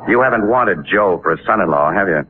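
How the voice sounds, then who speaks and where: Probing 1940s radio newshound, muffled recording. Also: male voice, low voice, animated, fairly quick, slight background noise. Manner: casual, clipped, probing. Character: sharp, persistent newspaper photographer sleuth, adult man. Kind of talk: 1940s radio drama acting.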